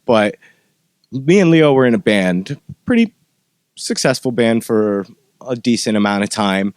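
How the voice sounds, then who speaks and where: A man's voice: medium pitch, animated, unhurried, clean tone, no room sound. A man hosting a podcast in a casual, conversational style. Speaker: podcast host. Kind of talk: casual chat.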